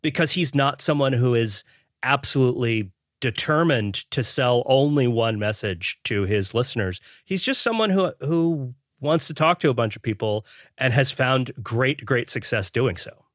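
A man's voice: mid-range voice, lively intonation, normal speed, a bit bright, dry recording, clean studio sound. A man on a podcast panel, arguing a point thoughtfully and often repeating words as he builds his sentences. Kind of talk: casual panel discussion.

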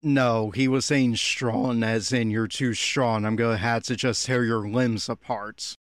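A man with a middling pitch delivers critical commentary in the style of a reaction podcast. His manner is blunt and exasperated. The recording is dry and close, with little room sound. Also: steady tone, a little slow, dry recording. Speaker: podcast host giving audio commentary.

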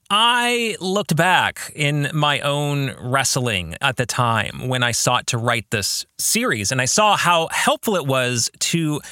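Animated man podcasting in a bright voice. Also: fairly high pitch, no room sound, clean studio sound. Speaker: podcast host and fiction author. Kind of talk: solo podcast monologue, reflective and personal.

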